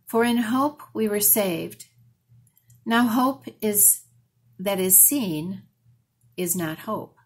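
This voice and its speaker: Older woman reading scripture slowly, husky voice. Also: mid-range voice. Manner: slow, careful reading. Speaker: lay reader. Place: home room, indoors.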